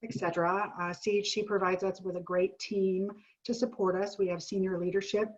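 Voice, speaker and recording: A woman's voice: mid-range voice, flat delivery, fairly quick. A woman who works as an art therapist and clinical director, talking in a friendly, chatty way on a video call while getting over a sinus illness. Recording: dull lo-fi call audio.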